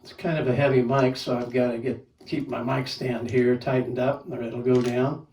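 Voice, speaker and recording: A man's voice: medium pitch, flat delivery, normal speed, a little mellow, echoey room, recorded from a distance. A man casually reviewing a microphone, talking plainly and counting off mic tests. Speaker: gear reviewer. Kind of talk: casual product demo with mic checks.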